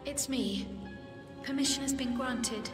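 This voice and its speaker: High-voiced polite female aide. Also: unhurried. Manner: courteous, formal. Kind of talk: dramatic video game cutscene acting. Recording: echoey hall effect, music behind.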